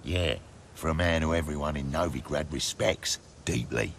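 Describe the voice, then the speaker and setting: An actor playing a scruffy street looter in a fantasy video game, sly and cocky, with slangy talk and a gravelly voice. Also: male voice, very deep voice, expressive, moderate pace, fairly bright tone, slight background noise, heavily acted. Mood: sly, knowing.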